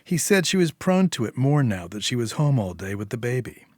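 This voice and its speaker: A male narrator reads literary fiction at a medium pitch for a man. The recording is dry and close, with hardly any room around the voice. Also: big pitch swings, a little fast, a little mellow, no room sound. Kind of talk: scripted short story narration.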